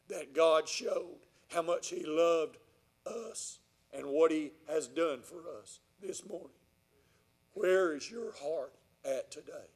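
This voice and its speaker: A man preaching earnestly and plainly as a pastor, repeating phrases for emphasis. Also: high voice. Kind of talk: evangelical sermon and church address. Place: large church sanctuary, indoors.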